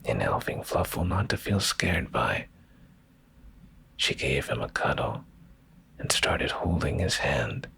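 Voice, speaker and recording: A man speaking in a soft, hushed, soothing ASMR style, reading slowly like a bedtime storyteller, close to the microphone. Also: animated, slight background noise. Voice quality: very deep, gravelly, breathy near-whisper.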